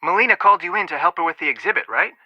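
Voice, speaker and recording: A man's voice: a little high, very animated, very fast, husky, no room sound, dull audio. An actor voicing a level-headed, protective young male amateur detective in a video game, speaking in an earnest, matter-of-fact way with dry wit. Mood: dryly amused. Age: young adult.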